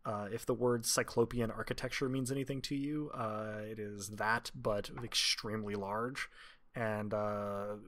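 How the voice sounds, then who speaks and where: A man's voice: lowish voice, fairly even intonation, measured pace, a bit bright. A man improvising narration as game master of a tabletop roleplaying game, with descriptive, wordy, literate phrasing.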